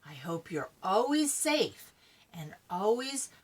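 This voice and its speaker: Unhurried older woman reading a storybook. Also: medium pitch, breathy edge. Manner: animated, dramatic, deliberate storytelling. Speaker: grandmother reading to children. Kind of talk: expressive children's storybook reading.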